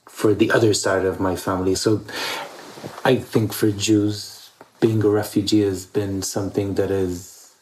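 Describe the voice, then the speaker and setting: A man's voice: fairly low pitch, a little mellow, poor audio. A man from East Jerusalem speaking as an interview guest in an earnest, reflective way, often repeating words for emphasis. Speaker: interview guest, theater director.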